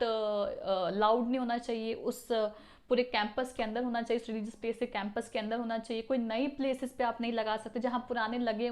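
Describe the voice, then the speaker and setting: A woman with a somewhat high voice talks to her viewers as a video host. Her delivery is casual and explanatory, like an informal chat. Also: darkish tone.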